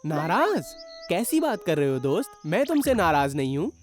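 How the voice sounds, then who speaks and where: An actor voicing a kind, conscience-stricken young male train, gentle and polite, in a children's cartoon dub. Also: mid-range voice, sing-song, normal speed, over music, lightly acted. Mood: guilty, hesitant.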